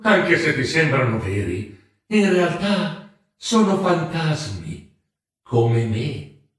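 A man voicing a gentle, mysterious childlike ghost with a soft, fluting voice that seems to come from far away, drawn through an echo effect. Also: on the high side, exaggerated voice. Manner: slow, calm, enigmatic.

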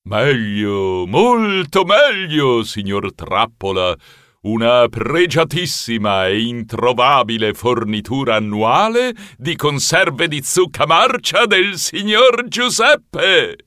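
A ceremonious, slyly gloating figure from a spooky comic audiobook. The voice is forceful and bright, moving slowly with big swings of pitch. Every phrase is mock-solemn and relished, recorded very dry. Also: male voice, very animated, no echo, heavily acted. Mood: gleefully ceremonious. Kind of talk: audiobook character acting.

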